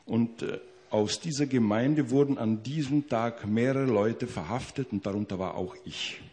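A man interpreting a witness's testimony into German in court, pausing to search for words. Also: medium pitch, dark tone, slight background noise. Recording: old 1960s courtroom tape.

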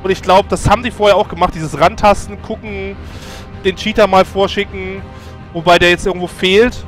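A man's voice: high-pitched, steady tone, fairly quick, faint music behind. A man co-commentating a video game match, analytical and eager about tactics.

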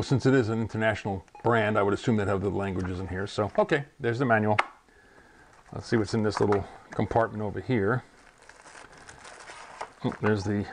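A man's voice: medium pitch, slight background noise. A man in his sixties, a ham radio channel host, chatting casually and rambling a little as he unboxes a radio in his home radio room on a lapel mic. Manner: chatty, rambling, chuckles often. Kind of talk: casual product unboxing vlog.

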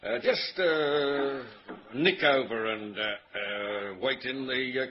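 An actor playing an older Australian country farmer and father who talks haltingly, full of hesitations, in a dull old 1930s radio recording. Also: medium pitch, a little hiss or hum, natural voice. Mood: plain.

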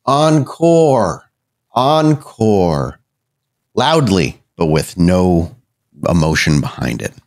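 A man's voice: deep voice, very animated, very slow. A man narrating a tabletop game as game master, descriptive and conversational, improvising as he goes.

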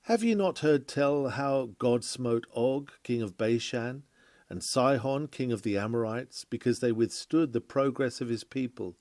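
A man reading a sermon aloud from a script in a formal, oratorical, measured manner. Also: mid-range voice, a little mellow, dry recording. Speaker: reader of a historical sermon.

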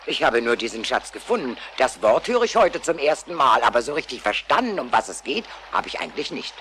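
A woman's voice: rumbling low, sing-song, brisk, fairly bright tone, a little hiss or hum, full character voice. A woman voicing an older, wise wild goose who leads the flock with authority in a cartoon audio play. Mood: thoughtful.

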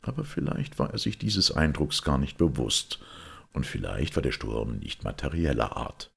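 A man reading a detective story as scripted literary narration, in a measured, dramatic manner. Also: a bass voice, very animated, vocal fry, a bit bright, breathy edge. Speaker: audiobook reader.

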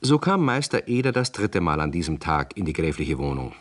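Low, expressive male storyteller. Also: fairly quick. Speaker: narrator. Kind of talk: scripted storybook narration for 1960s radio play.

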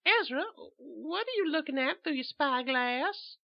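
A meek elderly spinster frets and stammers in a very high, fluttering voice, played by a man in falsetto. She pleads more than she speaks. The sound is dull and lo-fi. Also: lively intonation, measured pace, vocal fry, full character voice. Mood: puzzled. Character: timid old spinster woman, fretful and meek.

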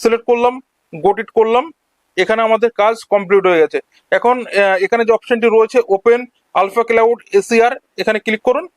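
A man's voice: high-pitched, a little fast, no room sound. A man narrating an instructional screen-recording tutorial, explaining each step in turn as a voice-over. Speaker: tech tutorial host.

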